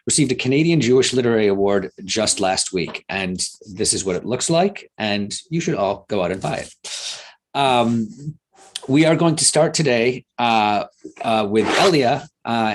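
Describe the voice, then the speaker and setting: A man moderating a memorial event, reading out speaker introductions with frequent uh sounds, recorded over a video call. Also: lowish voice. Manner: reads bios with frequent filler sounds.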